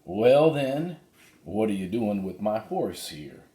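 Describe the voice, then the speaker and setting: A man voicing a tough but kindly storybook cowboy with folksy, drawn-out talk. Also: lowish voice, big pitch swings, mellow. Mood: wary, folksy. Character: plain-spoken, tough but kindly cowboy horse herder. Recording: roomy, echoey sound.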